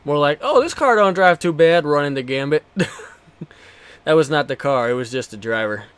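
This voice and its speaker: A man's voice: fairly high pitch, animated, moderate pace, fairly bright tone, clean tone, no echo. A man in his twenties doing casual, foul-mouthed live commentary while streaming a racing game, bantering jokily with viewers.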